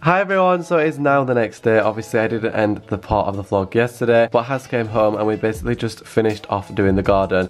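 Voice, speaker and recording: A young British man chatting casually to a handheld camera, with a wry, self-deprecating sense of humour. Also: lowish voice. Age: young adult. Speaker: vlogger. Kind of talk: casual vlog chat. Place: mostly rooms at home, some street and garden. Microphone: handheld camera at arm's length.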